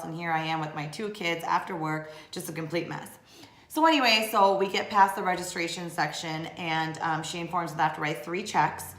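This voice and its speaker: A woman's voice: fairly low pitch, a bit bright. A woman in her thirties, originally from Sri Lanka, chatting casually to camera in a vlog, rambling with lots of fillers. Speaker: blogger and vlogger. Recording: echoey room.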